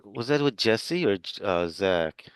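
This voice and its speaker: Low-voiced rambling male instructor. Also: expressive, a little slow, smooth, no room sound, studio quality. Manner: rambling, many fillers and restarts. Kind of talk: casual class introductions. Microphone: video call.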